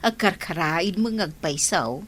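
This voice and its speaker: A woman's voice: lowish voice, lively intonation, a little slow, fairly bright tone, breathy edge, full character voice. A woman with a northern Philippine accent playing a tut-tutting, sympathetic village gossip in an audio comedy. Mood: commiserating. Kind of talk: comedy audio drama acting.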